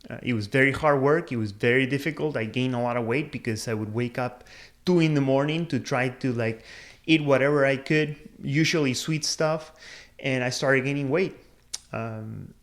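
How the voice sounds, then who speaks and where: A man in his thirties, born in Peru, talking casually to camera about career choices in a thoughtful, earnest way, with frequent restarts and fillers. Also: mid-range voice. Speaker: career advice video host. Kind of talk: casual personal talk to camera.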